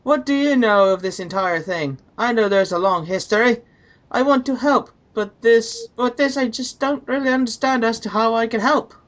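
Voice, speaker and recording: A man's voice: very high voice, moderate pace, fairly bright tone. A man reading online comments aloud and discussing them as a co-commentator.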